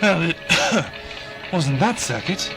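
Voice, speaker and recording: Over a loud music bed comes a man acting as an inquisitive time-travelling alien in a sci-fi audio drama. His mid-pitched voice swings freely up and down, musing and lightly wry. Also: animated, slow pace, vocal fry, full character voice. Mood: wry, thoughtful.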